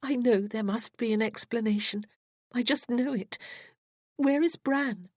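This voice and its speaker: A woman's voice: a little high, unhurried, a little mellow, dry recording, clean studio sound, pitched above the performer's own voice. A woman voicing an earnest, emotional young woman in an audiobook, breathless and tearful. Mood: pleading.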